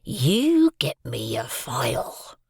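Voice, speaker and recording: A man voicing a hungry, threatening escaped convict, speaking in slow, growling, half-whispered threats. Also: mid-range voice, wildly swooping pitch, gravelly, husky, no room sound, studio quality, exaggerated voice. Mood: menacing. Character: menacing ragged male convict, hungry and threatening.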